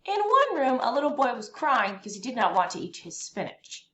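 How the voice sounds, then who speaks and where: Animated higher-voiced woman reading a children's story. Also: muffled recording. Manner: animated, deliberate phrasing for young listeners. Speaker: read-aloud storyteller. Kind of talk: expressive children's picture book narration. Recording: roomy echo, faint background noise.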